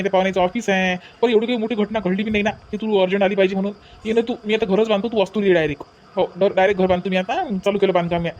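A man's voice: high-pitched, lightly acted. A man playing a bossy village husband and brother in a comedy cartoon, brusque and repetitive, with a Vidarbha Marathi accent. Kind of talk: exaggerated cartoon family comedy.

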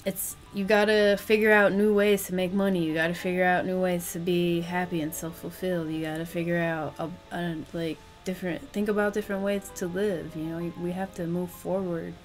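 A woman's voice: on the low side, little pitch movement, fairly quick, few pauses, faint music behind. A young woman co-hosting a casual home podcast, earnest and rambling with lots of fillers, recorded on a webcam mic in a room with a green curtain. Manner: earnest, rambling, says like a lot. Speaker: podcast co-host. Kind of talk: casual chat.

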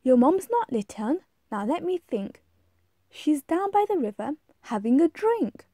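A woman's voice: high-pitched, very animated, a little slow, warm tone, no echo, clean studio sound, full character voice. A woman voicing a helpful, confident butterfly in a rhyming storybook, eager and encouraging. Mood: excited. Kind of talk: rhyming storybook read-aloud.

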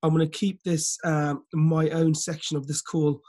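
Croaky man giving a motivational call. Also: fairly high pitch, flat delivery, moderate pace, warm tone. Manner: repetitive, many fillers and restarts, earnest. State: slightly croaky voice. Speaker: team leader hosting a group call. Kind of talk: motivational talk on a video call. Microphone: laptop webcam on a video call.

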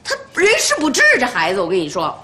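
A woman's voice: mid-range voice, wildly swooping pitch, fast talker, echoey room, very noisy. An actress playing a harsh, domineering older grandmother who nags and scolds sharply. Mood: lecturing. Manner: nagging, scolding, sharp tongue. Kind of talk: TV drama acting.

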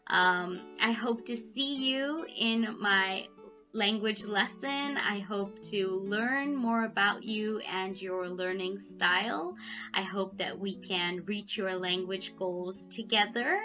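A woman's voice: mid-range voice, bright, forceful tone. A young woman, an online English tutor, introducing herself cheerfully into a headset mic in a small room at home. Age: young adult. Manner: cheerful, smiling, measured delivery. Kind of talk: self-introduction video. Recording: slightly dull lo-fi audio with faint guitar music.

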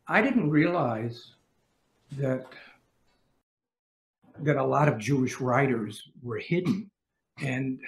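An older man speaking on a video call panel from a room lined with bookshelves, halting, with many restarts. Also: medium pitch, reverberant, low-quality recording.

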